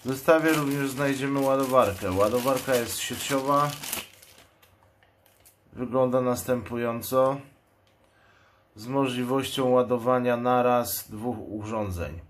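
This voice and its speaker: A man explains a product in an unboxing commentary. He speaks slowly at a medium pitch, in a measured, matter-of-fact manner. There is clear background noise, with clicks and crinkling packaging. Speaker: product reviewer and channel host. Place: indoors at a table. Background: noise, plastic packaging crinkles and clicks.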